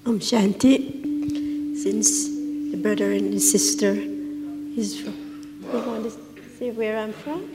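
Woman from Guyana, polite questioner, slow, loud background noise, hall reverb. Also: mid-range voice, fairly even intonation. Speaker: audience questioner. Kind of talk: asking a question at a Q&A.

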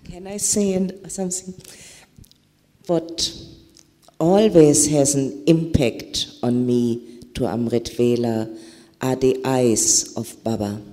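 A man sharing a spiritual experience on a panel, speaking earnestly with halting pauses. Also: mid-range voice, mellow. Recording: reverberant hall.

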